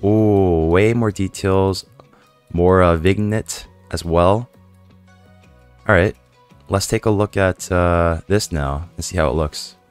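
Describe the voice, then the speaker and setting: A young man with a hoarse voice from a cold, chatting casually to viewers while playing a game, rambling with lots of fillers. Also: low-pitched, slow pace, faint music behind. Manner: chatty, rambling, many fillers and self-questions. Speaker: gaming YouTuber.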